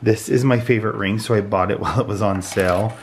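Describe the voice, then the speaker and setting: A man's voice: lowish voice, steady tone, fast, slightly rough. An American man in his thirties chatting casually in a vlog, chatty, his voice congested and giving out from a cold. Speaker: vlogger. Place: indoors at home. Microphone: handheld phone or camera at arm's length.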